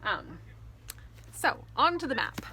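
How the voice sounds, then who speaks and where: A woman's voice: a little high, wildly swooping pitch, takes it slowly, creaky, bright, forceful tone. A woman in her thirties or forties talking casually and expressively to viewers as an author hosting her own video. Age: 30s to 40s. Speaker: fantasy author and video host. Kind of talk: casual explanatory vlog to viewers. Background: faint ambient noise.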